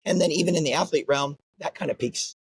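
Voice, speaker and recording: A man's voice: on the high side, fast talker, breathy edge, no room sound. A man who runs a martial arts school, talking earnestly in an interview and often tagging his points with "right?". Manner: earnest, repeats "right?" as a tag. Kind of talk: interview conversation.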